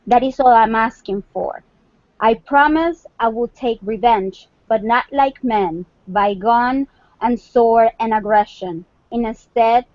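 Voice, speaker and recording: A woman's voice: fairly high pitch, darkish tone, dry recording. A woman speaking as a guest poet on an online livestream. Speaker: guest poet and volunteer editor. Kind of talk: poetry reading and casual discussion on a livestream. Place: indoors on an online group call. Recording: dull lo-fi online call audio.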